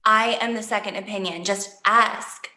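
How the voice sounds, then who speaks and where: A woman's voice: medium pitch, moderate pace, reverberant. A woman reading a blunt young painter. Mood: breaking up. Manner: calm, blunt, matter-of-fact delivery. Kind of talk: table read of a comedy script.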